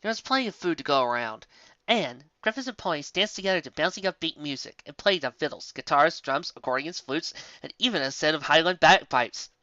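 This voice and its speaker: A man's voice: on the high side, expressive, a little fast, bright, forceful tone, no echo, highs cut off. A man reading a fantasy story aloud in a theatrical, dramatic way. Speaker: narrator, reader. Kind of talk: expressive amateur fan fiction reading.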